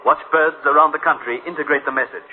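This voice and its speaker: A man's voice: fairly high pitch, steady tone, quick pace, lightly acted. An actor playing a solemn male narrator of a science-fiction radio tale, clipped and ominous in delivery. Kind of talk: dramatic scripted radio narration. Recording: tinny radio recording, loud dramatic music.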